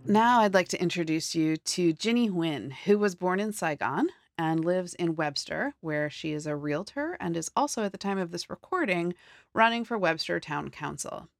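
A woman hosting a theater program, giving a scripted introduction in a clear, measured delivery. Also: lowish voice, lively intonation, dry recording, studio quality, soft background music. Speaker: theater program host and interviewer. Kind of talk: scripted narration and interview questions.

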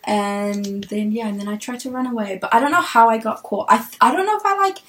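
A woman's voice: a little high, fast, fairly bright tone. A woman in her twenties from Ethiopia who grew up in New Zealand, telling childhood stories in a casual, giggly chat full of fillers. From Ethiopia, raised in New Zealand. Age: 20s. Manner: chatty, giggly storytelling with many fillers. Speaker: interviewee.

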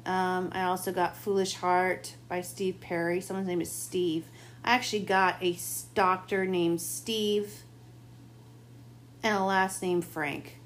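A woman doing a late-night tarot reading, talking in a rambling, confiding way, her voice gone a bit raspy. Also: on the low side, little pitch movement, unhurried, slight background noise. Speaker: tarot reader. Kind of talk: late-night spiritual monologue.

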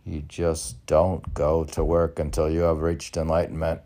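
An older man reading his own poetry aloud in a halting manner, mixing recitation with casual asides. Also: rumbling low, completely flat delivery, mellow. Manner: halting, spells out and repeats words. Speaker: poet reading own work.

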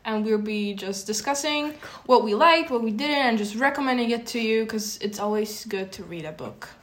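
A teenage girl from Poland chats casually as a podcast co-host. She hesitates and restarts, filling gaps with "um" and "like", and her slightly high voice rings in an echoey room. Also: normal speed, a bit bright. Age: teens. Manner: hesitant, many fillers and restarts. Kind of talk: casual chat.

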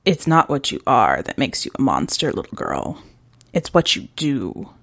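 A woman puts on a male monster hunter in a dark storybook tale. He speaks in a breathy, deliberate hush with quiet menace and authority. The recording is dry and close. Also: extremely low pitch, sing-song, no echo, pitched below the performer's own voice, full character voice. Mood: quiet, knowing. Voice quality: breathy, whispery. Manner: hushed, deliberate.

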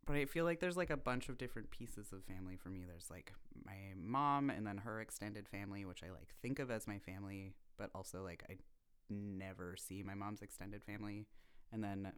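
A man's voice: lowish voice, brisk, a bit bright, smooth. A man in his thirties from Vancouver, a podcast guest, chatting loosely with lots of "like". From Canada (Vancouver). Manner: rambling, many "like" fillers. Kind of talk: casual conversation.

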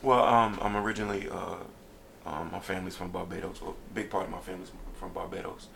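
A man from Brooklyn, a rapper as a guest, talking casually in a laid-back, meandering way with many pauses. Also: sits low, flat delivery, vocal fry, background noise. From Brooklyn, New York. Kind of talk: casual interview chat.

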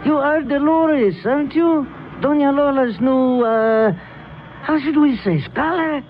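An actor playing a smarmy, sinister old gentleman who is fussily polite, in a radio drama. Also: very high voice, measured pace. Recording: muffled, hissy old radio recording.

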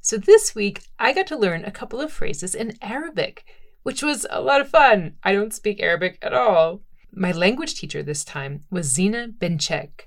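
A woman podcast host introducing a segment in an upbeat, clear presenting manner. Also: on the low side.

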